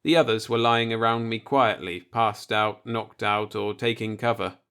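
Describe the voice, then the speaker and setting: A man's voice: lowish voice, fairly even intonation, normal speed, fairly bright tone, clean tone. A man reading a short story aloud as a scripted audiobook narration.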